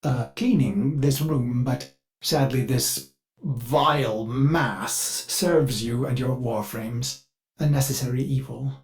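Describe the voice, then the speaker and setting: An actor playing a fussy, eager-to-please artificial mind of a spaceship in a video game, with an overly polite, theatrical and halting delivery. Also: male voice, medium pitch, darkish tone, faint music behind, close to an everyday voice. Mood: disgusted, wary. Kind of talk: video game character dialogue.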